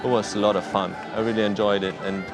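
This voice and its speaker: A man's voice: fairly low pitch, level tone, fast talker. A man at a festival recounting his first day, searching for words and repeating himself. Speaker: festival participant. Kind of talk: festival interview. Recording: outdoors with crowd and music.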